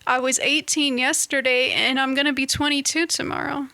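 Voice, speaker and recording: A woman in her twenties talking to camera in a casual, reflective monologue, halting and restarting as she searches for words. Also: sits high, little pitch movement, creaky, bright, forceful tone, no room sound. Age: 20s. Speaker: vlogger.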